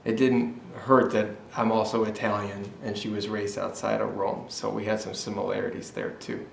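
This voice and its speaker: Low, flat-voiced man in an echoey room. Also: normal speed, vocal fry, a little hiss or hum. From Michigan, USA. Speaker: husband sharing a church testimony. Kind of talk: personal testimony, interview-style.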